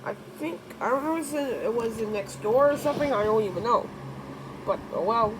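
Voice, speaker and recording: A high, very lively female voice reads a storybook aloud. She stumbles and corrects herself, slipping into informal asides. There is clear background noise behind her. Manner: halting, self-correcting reading. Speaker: story reader.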